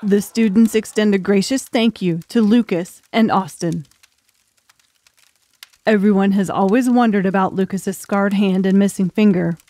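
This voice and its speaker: Female audiobook narrator, mid-pitched, scripted dramatic storytelling, dry studio-close sound. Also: dark tone, dry recording.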